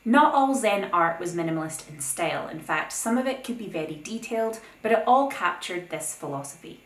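A young woman with a somewhat low voice narrates a scripted art lesson, breaking in now and then with her own opinions. The sound is roomy, with a noticeable echo off the walls of an indoor space. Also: fairly quick. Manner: opinionated, lively asides between explanations. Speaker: art video host. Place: plain indoor room.

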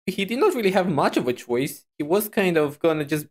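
A woman's voice: lowish voice, sing-song, brisk, darkish tone. A woman reacting to a video as a VTuber, musing aloud in a casual, hesitant, repetitive way.

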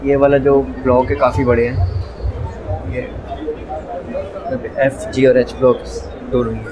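A man's voice: mid-range voice, flat delivery, unhurried, background noise. A man giving a casual, matter-of-fact walkthrough of a crowded indoor trade expo, recorded on a handheld phone. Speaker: vlogger touring a trade expo. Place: crowded indoor exhibition hall. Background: loud dance music.

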